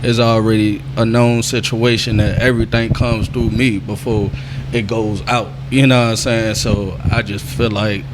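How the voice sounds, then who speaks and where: A man's voice: on the low side, little pitch movement, moderate pace, noisy background. A man in his twenties, a rapper and label owner, chatting casually in a rambling, laid-back way. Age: 20s. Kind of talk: casual chat.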